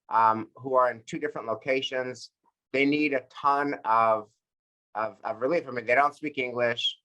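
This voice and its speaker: A man's voice: mid-range voice, bright, forceful tone, rough recording. An American man leading a group book discussion in an earnest, reflective way, often repeating and rephrasing his points.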